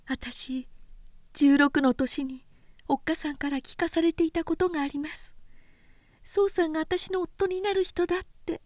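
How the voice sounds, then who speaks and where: A woman's voice: steady tone, a little mellow, no echo, clean studio sound, close to an everyday voice. A woman voicing a pleading young Edo-era maiden. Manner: high, rapid, urgent pleading. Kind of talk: literary reading.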